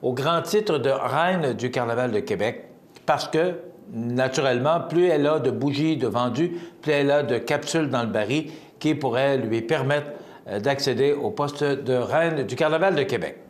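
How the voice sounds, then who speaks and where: A man's voice: mid-range voice, moderate pace, reverberant. A man with a Quebec French accent hosting a local TV morning show, chatting casually with many fillers and restarts. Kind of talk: casual on-air news chat. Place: TV studio.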